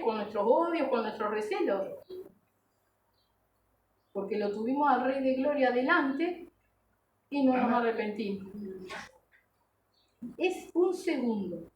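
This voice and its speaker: A woman preaching a sermon, exhorting her listeners earnestly with rhetorical questions and short check-in tags. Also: on the high side. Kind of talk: sermon to a congregation. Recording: echoey room, distant mic, background noise.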